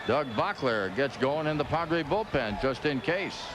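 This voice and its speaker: A man's voice: on the high side, expressive, a little slow. An American man calling baseball play-by-play in a folksy, conversational way with clipped calls. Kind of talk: radio play-by-play commentary. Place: ballpark broadcast booth. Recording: noisy broadcast over loud ballpark crowd. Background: loud crowd and stadium ambience.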